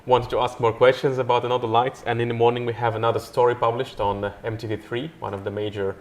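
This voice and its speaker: A man's voice: medium pitch, little pitch movement, fast. A man hosting a live podcast interview outdoors by a river, talking in a friendly, enthusiastic way with frequent fillers. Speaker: podcast host.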